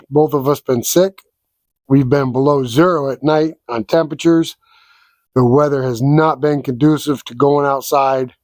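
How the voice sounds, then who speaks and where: A man hosting a sawmill vlog, congested from a cold, explaining things in a slow, folksy, down-to-earth way. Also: mid-range voice, darkish tone, no echo. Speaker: sawmill owner and channel host. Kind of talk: casual outdoor vlog walkthrough. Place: wood shed at a sawmill.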